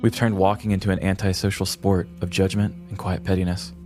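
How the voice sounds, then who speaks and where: Low-voiced man, dry podcast co-host. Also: little pitch movement, a little fast, darkish tone, faint music behind. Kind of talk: casual chat and read-aloud essay.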